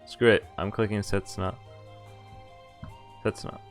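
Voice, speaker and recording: Excitable man reading a visual novel aloud. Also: lowish voice, moderate pace, soft background music. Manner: excitable, thinks aloud, reads story lines in first person. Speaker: streamer playing a visual novel. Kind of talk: live game commentary and reading text aloud.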